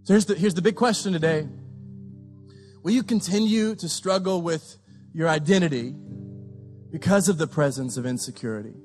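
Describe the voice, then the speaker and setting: A man pastor giving a sermon, preaching with passion, repeating phrases and rising to shouts. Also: fairly high pitch, normal speed, pauses often, soft background music. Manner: impassioned, repetitive preaching with shouted peaks.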